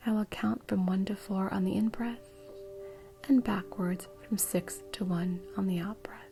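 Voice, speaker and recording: A woman guiding a meditation in a soft, breathy, soothing way. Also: medium pitch, steady tone, a little slow, faint music behind. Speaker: meditation guide. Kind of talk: guided meditation.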